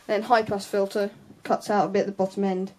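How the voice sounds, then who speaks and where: A woman's voice: medium pitch, flat delivery, fairly quick, darkish tone. A young woman gives an informal, unscripted hands-on tutorial in a hesitant, offhand way, trailing off into asides. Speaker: sound tech tutorial presenter.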